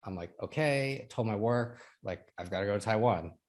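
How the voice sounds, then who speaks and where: A man's voice: lowish voice, fairly quick. A man in his thirties from Sacramento, a podcast guest telling his story in casual chat, laughing often. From Sacramento, California. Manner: chatty, laughs often, quotes others in lively bursts.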